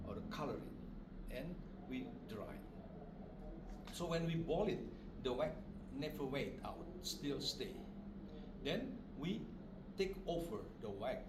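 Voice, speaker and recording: Man, Indonesian accent, slightly high voice, short simple English phrases, craft demonstrator, loud background noise. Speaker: puppet maker giving a demonstration. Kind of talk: informal craft demonstration to visitors. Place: roomy puppet studio. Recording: roomy, distant mic, loud background noise.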